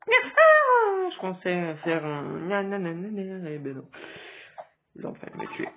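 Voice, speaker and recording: A man with a cold giving hesitant, casual commentary over a game, with sudden excited outbursts. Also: high-pitched, slow. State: stuffy with a cold. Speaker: gaming video creator. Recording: tinny phone-like recording.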